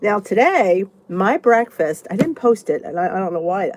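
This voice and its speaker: Congested woman with a lively, animated voice. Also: mid-range voice, moderate pace. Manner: chatty and rambling, thinks aloud. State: congested, stuffy nose. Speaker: vlogger. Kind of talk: casual live chat answering viewer comments.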